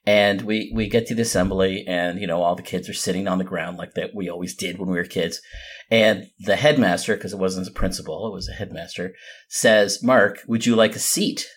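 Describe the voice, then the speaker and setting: A man's voice: low voice, steady tone, fairly quick. A man from the United States chatting and bantering casually as a podcast co-host, telling anecdotes in an informal way. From American, spent part of boyhood in England.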